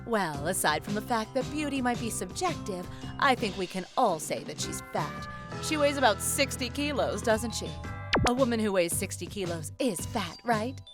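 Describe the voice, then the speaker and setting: A woman's voice: mid-range voice, animated, normal speed, close to an everyday voice. A woman playing a beautiful, smug young office colleague in a manga dub, with a condescending, needling way of talking over music. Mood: smug, mocking. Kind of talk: dubbed manga story acting.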